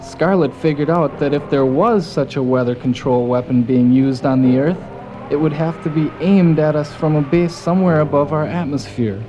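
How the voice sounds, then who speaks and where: A man's voice: fairly high pitch, moderate pace, muffled recording, background noise, close to an everyday voice. A man playing a folksy, gung-ho soldier who narrates his team's mission in a scripted audio drama. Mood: earnest storytelling. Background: music.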